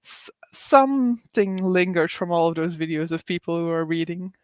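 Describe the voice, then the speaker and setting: A woman's voice: lowish voice, steady tone, moderate pace, darkish tone, no echo. A woman hosting a casual livestream reading sprint, reading viewer comments aloud, chatting with frequent laughs, likes and ums.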